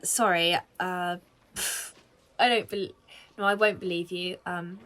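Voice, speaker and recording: A woman playing an earnest female police detective in a naturalistic audio drama, speaking haltingly. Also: lowish voice, fairly even intonation, a bit bright. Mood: shocked, disbelieving. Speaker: actor in an audio play.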